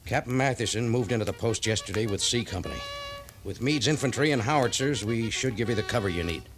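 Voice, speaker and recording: A man playing a level-headed army major in 1950s western radio acting, firm and measured as a superior officer. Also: mid-range voice, over music, close to an everyday voice. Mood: businesslike. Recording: noisy 1950s radio drama recording.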